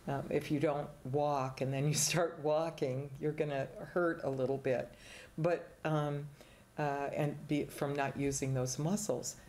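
A woman's voice: sits low, fairly even intonation, measured pace, a little mellow. An older woman, a singing teacher, explaining at length in a casual chat in a small TV studio, with rambling restarts.

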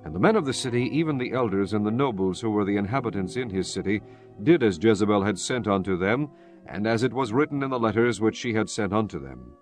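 A man's voice: on the low side, lively intonation, quick pace, faint music behind. A man narrating scripture in a solemn, formal reading style for a dramatized Bible.

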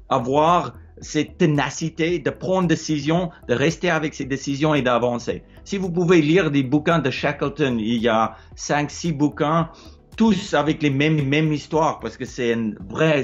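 A middle-aged man with an Afrikaans accent who speaks French as a second language, talking casually and haltingly about his explorer life, recorded indoors on a close-held phone. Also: on the high side, lo-fi sound. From South Africa. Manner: hesitant, repeats words, searching for words. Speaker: explorer sharing advice. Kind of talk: casual advice monologue. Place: wood-ceilinged room indoors. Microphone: phone held close, low angle.

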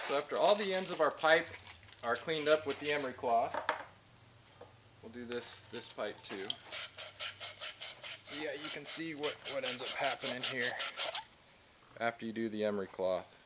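A man's voice: medium pitch, animated, normal speed, pauses often, heavy background noise. Casual man demonstrating a trade skill. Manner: casual, practical, explains while working with his hands. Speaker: tradesman instructor. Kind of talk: hands-on how-to demonstration. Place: large indoor workshop with shelving.

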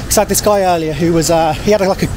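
A man's voice: sits high, steady tone, very fast, over music. A man in his thirties with an English accent, chatting effusively and casually to a handheld camera. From England. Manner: chatty, effusive, repeats phrases for emphasis. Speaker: travel vlogger, host. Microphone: handheld vlog camera at arm's length.

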